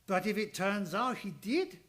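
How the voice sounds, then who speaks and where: A man playing a fussy, shrewd elderly professor in a radio drama, speaking deliberate broken English with dropped articles. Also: sits high, sing-song, fairly bright tone. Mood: firm, skeptical. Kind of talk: old-time radio drama acting.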